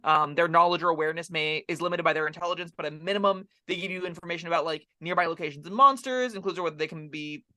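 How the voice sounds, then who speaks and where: A man with a high, bright voice talks very quickly through tabletop game rules. He sounds like an opinionated co-host in a casual discussion, pressing each point with emphasis.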